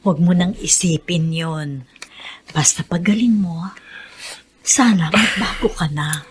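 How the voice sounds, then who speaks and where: A woman playing a patient, forgiving wife and mother in a Filipino radio drama, gentle and pleading. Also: fairly low pitch, unhurried, breathy edge. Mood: gentle concern.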